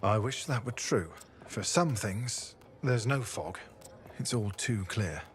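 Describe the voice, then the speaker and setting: Haunted, guilt-ridden defector in noise. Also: male voice, fairly low pitch, expressive. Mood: troubled, halting. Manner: halting, brooding, confessional. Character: haunted defector, guilt-ridden former state assassin and spy. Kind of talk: video game character dialogue.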